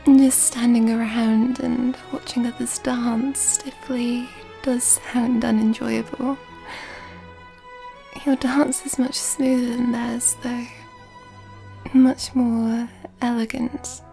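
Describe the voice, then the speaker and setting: A woman playing an elegant, seductive female vampire in an ASMR roleplay, soft-spoken and breathy, often whispering in a genteel, flirtatious way. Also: fairly high pitch, flat delivery, slow pace. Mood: flirtatious, charmed. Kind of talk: ASMR roleplay, intimate scripted monologue. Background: background music.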